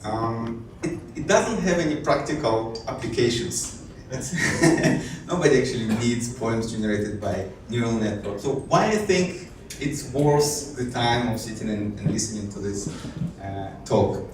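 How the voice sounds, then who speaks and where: A man's voice: medium pitch, animated, measured pace. Man speaking in an echoey conference hall. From Israel. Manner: hesitant, many fillers and restarts, light self-amused laughs. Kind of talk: technical conference talk. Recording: echoey hall, distant mic, faint noise.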